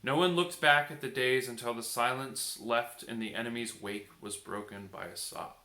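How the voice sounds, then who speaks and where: A man reading a bedtime story aloud as an expressive storybook narrator. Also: medium pitch, moderate pace. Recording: echoey room.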